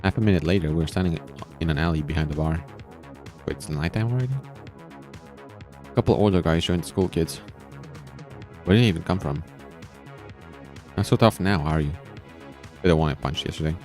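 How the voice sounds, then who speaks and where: A man's voice: low-pitched, fast, long pauses, darkish tone. A man reading a visual novel aloud, breaking in with casual, informal comments of his own. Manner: informal, reacting to the story with asides. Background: background music.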